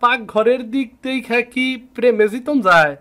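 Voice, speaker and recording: A man's voice: very high-pitched, normal speed, sharp tone. A man playing a timid, lovesick young fishmonger in a cartoon, pleading and hesitant, with an eastern Bengali accent. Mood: dejected, puzzled. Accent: eastern Bengali (Bangladeshi). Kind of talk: dramatic cartoon voice acting.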